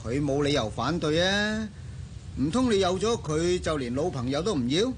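An actor playing a breezy rich bachelor with a knowing, insistent manner in a Hong Kong Cantonese radio drama. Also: male voice, a little high, very animated, moderate pace, slight background noise. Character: breezy, pushy rich bachelor and old friend.

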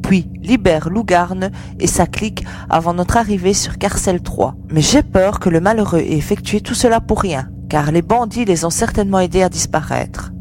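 Actress as earnest young heroine, noisy humming recording. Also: female voice, on the low side, moderate pace, few pauses, a little mellow, lightly acted. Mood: earnest, worried. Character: young woman adventurer, earnest and concerned for comrades. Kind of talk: acted dialogue in an amateur audio serial. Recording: clear hum and background noise.

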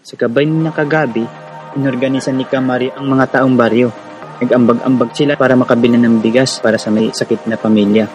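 A male actor plays an earnest young Filipino man from a village youth group, telling his story in a radio drama. His voice sits at an ordinary male pitch, with music playing underneath. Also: fairly even intonation, a little fast, a little mellow, natural voice. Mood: earnest storytelling. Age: young adult. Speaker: narrator and lead character.

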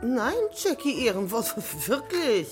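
A woman's voice: on the high side, sing-song, slow pace, soft background music. A woman playing a frightened young woman who pleads with a gangster in an old radio play. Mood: pleading. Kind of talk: 1950s radio drama acting.

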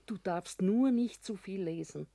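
An actress playing a homely Bavarian small-town widow who talks plainly in a radio drama. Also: female voice, medium pitch, a little slow, gravelly, warm tone, dry recording. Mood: plain, wistful.

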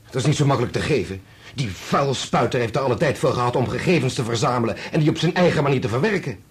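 An actor playing an indignant young conductor in a radio play, speaking in an insistent, combative, clipped way. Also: male voice, mid-range voice, animated, fast talker, breathy edge. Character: indignant young conductor defending his dead father's name. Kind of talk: Dutch radio play acting.

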